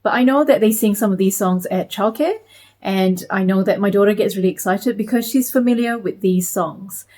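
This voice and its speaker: A woman in her thirties from Malaysia, talking to camera in a measured, explanatory way as a parenting vlogger. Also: mid-range voice, dark tone. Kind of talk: scripted talk to camera.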